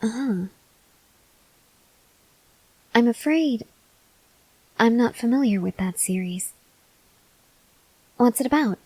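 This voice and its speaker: A woman playing an earnest, desperate female vampire scientist in an ASMR roleplay, speaking haltingly in a breathy voice that drifts into whispers. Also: fairly high pitch, lively intonation, darkish tone, no echo, full character voice, rough recording. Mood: gently curious. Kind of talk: ASMR roleplay, slow dramatic monologue to the listener.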